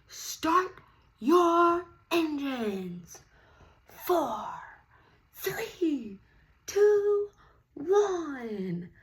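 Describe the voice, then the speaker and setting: A woman plays a commanding storybook race announcer, pitched high and shouted out loud. The words are booming and drawn out very slowly, full of excitement. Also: exaggerated voice. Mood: excited, booming. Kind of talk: children's storybook character voice.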